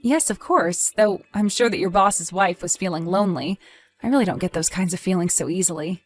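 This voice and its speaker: A woman's voice: on the low side, a little fast, faint music behind, natural voice. A woman playing a polite, reassuring but evasive wife in a scripted audio story, phrasing things neatly. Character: evasive, cheerful wife chatting with her husband.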